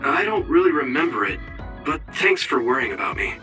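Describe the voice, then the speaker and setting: An actor playing an earnest, modest young male rebel soldier in an anime game, speaking in a measured way. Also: medium pitch, very animated, bright, forceful tone. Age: young adult. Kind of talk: anime game cutscene dialogue. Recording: studio voice over game music.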